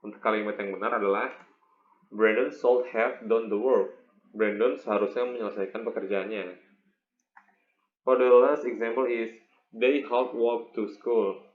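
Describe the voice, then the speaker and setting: A young Indonesian man with an Indonesian accent gives an instructional presentation as a student teacher, halting and often repeating words. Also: fairly low pitch, fairly even intonation. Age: young adult. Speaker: English education student presenting a teaching video. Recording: echoey room, muffled, faint noise.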